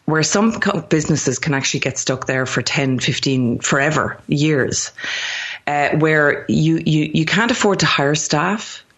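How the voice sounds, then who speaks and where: A woman's voice: low-pitched, unhurried, fairly bright tone, dull audio. An Irish woman in her thirties, a company founder, talking as a guest in a chatty interview and often restarting her sentences. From Ireland. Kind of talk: podcast interview.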